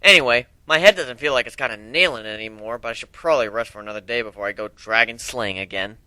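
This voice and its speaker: Bright-voiced man reading a story. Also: medium pitch, fast, clear, resonant tone, no room sound. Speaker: amateur narrator. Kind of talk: dramatic story reading, first-person narration.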